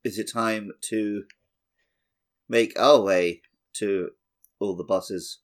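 A man's voice: fairly low pitch, steady tone, slow. A man co-hosting a podcast, joining in casual banter about video games. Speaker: podcast co-host.

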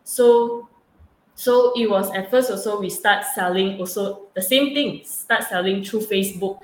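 Young Malaysian woman in an echoey room. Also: medium pitch. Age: young adult. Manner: repetitive, halting phrasing with frequent "actually". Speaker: student entrepreneur being interviewed. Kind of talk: casual interview answers. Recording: echoey room with clear background noise.

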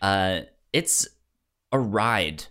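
Slow-paced man, halting casual podcaster. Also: sits low, lively intonation, bright, forceful tone. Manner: halting, stammering, repeats words and sounds. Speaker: podcast co-host. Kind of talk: casual movie discussion chat.

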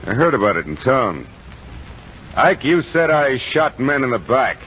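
A man's voice: mid-range voice, sing-song, unhurried, fairly bright tone, a little hiss or hum. An American man playing a cocky, scornful Old West gunfighter in a radio western, cool and mocking. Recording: muffled vintage radio recording.

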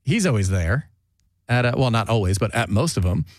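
A chatty man hosts a relaxed talk show, rambling along and restarting his sentences, with frequent laughs. The recording is dry, with no room echo. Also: lowish voice, a little fast. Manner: rambling, self-interrupting, laughs often. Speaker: podcast host. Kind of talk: casual chat.

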